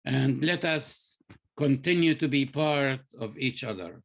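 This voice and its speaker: A man giving deliberate, exhorting closing remarks on a webinar, repeating key words for emphasis. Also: mid-range voice, expressive, gravelly, fairly bright tone. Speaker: webinar speaker. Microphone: video call.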